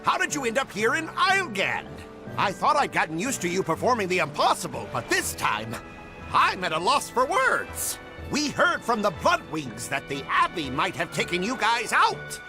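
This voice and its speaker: A man playing an excitable, loyal pirate crewman in a fantasy video game. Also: high voice, moderate pace, music in the background. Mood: eager, concerned. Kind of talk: English-dubbed fantasy game acting.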